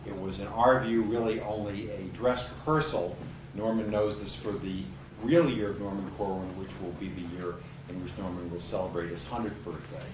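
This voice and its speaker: A man's voice: lowish voice, steady tone, normal speed. A man hosting a screening event, giving an introduction speech with emphatic repetition. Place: event hall before an audience. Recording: roomy, noisy hall, far from mic.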